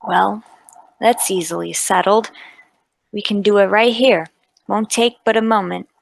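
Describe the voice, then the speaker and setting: A woman playing a brisk, businesslike police inspector in a radio play table read, with a matter-of-fact manner. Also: medium pitch, steady tone, vocal fry, poor audio. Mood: calm authority.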